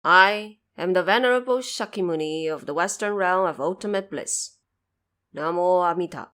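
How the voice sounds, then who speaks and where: A woman voicing a lofty male Buddha, solemn, measured and stern, in an audio drama. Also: on the low side, a bit bright, close to an everyday voice. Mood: calm, questioning. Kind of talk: audio drama character acting.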